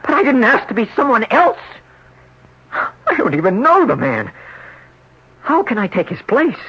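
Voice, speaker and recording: Panicked young man, bright and lively, on a dull old radio play. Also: very high-pitched, a little slow, full character voice. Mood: bewildered. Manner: anxious, urgent and bewildered. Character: young man trapped in a rich man's body. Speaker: narrator and lead. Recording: dull old radio broadcast with faint hiss.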